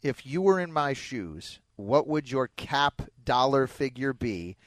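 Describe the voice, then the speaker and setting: A man's voice: medium pitch, very animated, takes it slowly, dry recording. An American man hosting sports talk radio in an emphatic, opinionated way, close on a studio mic. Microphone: close studio broadcast microphone.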